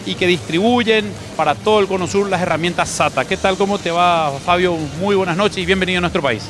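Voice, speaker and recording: High-pitched male interviewer, music behind. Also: a little fast. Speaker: interviewer, TV show host. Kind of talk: on-location trade show interview. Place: trade expo stand. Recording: music under the voice. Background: background music.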